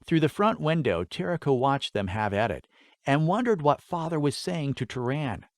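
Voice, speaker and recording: Animated male audiobook narrator, dry sound. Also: fairly high pitch, normal speed, dry recording. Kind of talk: expressive scripted fantasy story narration.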